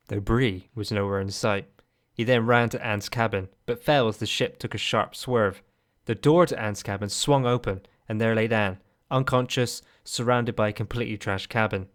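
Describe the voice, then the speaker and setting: A man's voice: lowish voice, fairly even intonation, moderate pace, clean tone. A man reading scripted third-person narration for an amateur audio drama.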